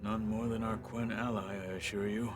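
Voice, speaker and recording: Deep-voiced stoic warrior played by a man. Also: level tone, over music, natural voice. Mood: resolute, formal. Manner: measured, formal, solemn.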